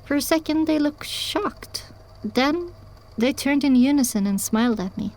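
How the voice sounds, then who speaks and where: A woman playing a young pony mare who tells her own story in earnest, measured audiobook narration. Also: high voice, lively intonation, a little mellow. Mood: reflective, earnest. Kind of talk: scripted first-person audiobook narration.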